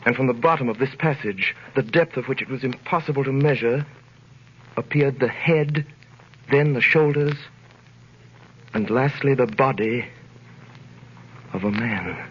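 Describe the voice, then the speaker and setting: An actor playing an earnest, desperate French prisoner who tells his ordeal with grave, dramatic pauses in a 1930s radio drama style. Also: mid-range voice, slight background noise, natural voice. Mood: solemn, reflective. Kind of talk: 1930s radio drama acting, first-person narration. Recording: muffled vintage 1930s radio recording with music.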